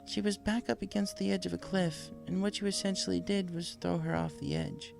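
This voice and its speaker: Storybook pony princess, acted by a man, raised pitch, gracious, measured, frank, soft music behind. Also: fairly even intonation, creaky. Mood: gently stern. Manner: polite, measured, soothing and formal. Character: gracious, wise female pony princess, gentle but frank. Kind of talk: storybook character voice.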